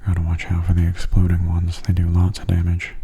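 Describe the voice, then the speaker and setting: Very soft, breathy low-voiced man, ASMR. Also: monotone. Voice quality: soft, breathy, often near whisper. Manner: gentle, unhurried, low-key. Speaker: gaming video host. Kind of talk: soft-spoken ASMR game commentary.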